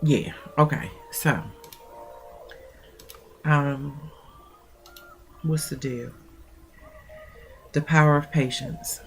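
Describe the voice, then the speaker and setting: A woman's voice: deep voice, steady tone, extremely slow, pauses often, slight background noise, rough recording. A woman mentoring coach with a stuffy nose, talking in a chatty, rambling way with many fillers and reading aloud from her own notes. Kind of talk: casual talk and reading aloud.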